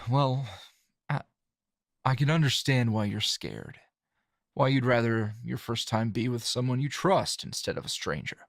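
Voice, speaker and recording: A man acts out a calm, teasing male hero in an intimate ASMR roleplay. His medium-pitched voice moves slowly and haltingly, with dry, understated wit. The sound is close and free of room echo. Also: vocal fry. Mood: reassuring. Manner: low-key, halting, conversational with sighs and soft chuckles.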